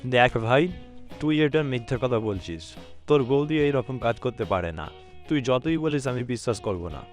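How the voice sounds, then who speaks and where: A stubborn, disbelieving elder brother from a village cartoon acted by a man. His voice sits at a middle pitch over faint music. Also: animated, normal speed, lightly acted. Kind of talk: scripted cartoon dialogue.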